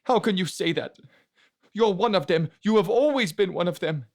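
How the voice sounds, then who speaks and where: Guy doing a bitter grieving hothead, anguished and accusing, voice pushed higher, audiobook. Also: lively intonation, normal speed, a little mellow. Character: bitter, hot-tempered, grieving male warrior.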